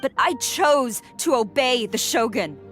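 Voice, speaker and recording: Earnest, high-voiced warrior. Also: female voice, animated, unhurried, a bit bright, faint music behind. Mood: resolute. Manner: solemn, formal, intense. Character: earnest, dutiful young female warrior, wounded and resolute. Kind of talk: video game drama acting.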